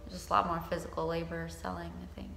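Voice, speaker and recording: A woman's voice: fairly low pitch, completely flat delivery, quick pace, gravelly, pushed and bright, soft background music. A woman vlogging in a casual, informal and wry way. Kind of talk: casual chat to camera.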